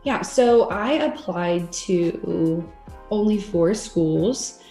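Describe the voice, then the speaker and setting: A woman's voice: on the low side, expressive, very slow, mellow, reverberant, faint music behind. A young woman from Texas, a law student guest, chatting frankly with hesitant fillers. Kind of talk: casual interview chat.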